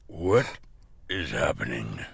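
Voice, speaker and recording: Rumbling, gravelly, very deep slasher killer. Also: male voice, a little hiss or hum, full character voice. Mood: weary, deadpan. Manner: slow, deliberate, deadpan. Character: menacing camp slasher killer turned weary, sensible adviser. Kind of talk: comedic horror audio sketch.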